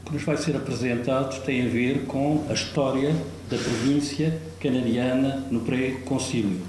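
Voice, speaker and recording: A man moderating a session, giving a slow, deliberate summary for the audience. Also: medium pitch, steady tone. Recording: very echoey hall with faint noise.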